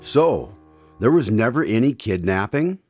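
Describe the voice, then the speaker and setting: A man's voice: lowish voice, big pitch swings, measured pace. A man hosting a radio drama, delivering scripted questions and announcements. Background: guitar music.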